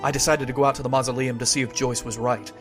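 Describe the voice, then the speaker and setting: A man's voice: mid-range voice, little pitch movement, fast talker, over music, lightly acted. An actor playing a wary, skeptical man who investigates deaths and narrates his own story in an old-time radio horror drama. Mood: grim narrating.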